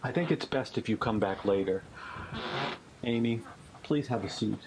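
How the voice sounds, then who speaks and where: A man acting a calm, world-weary exorcist priest in a low-budget horror film, with noisy audio. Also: normal speed, natural voice. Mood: calm, solemn.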